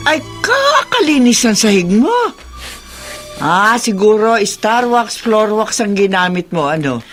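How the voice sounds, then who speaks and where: An actress with a Filipino accent playing a chatty, excitable, gossipy woman in a radio drama. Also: mid-range voice, a little slow, soft background music. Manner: exclamatory, chatty.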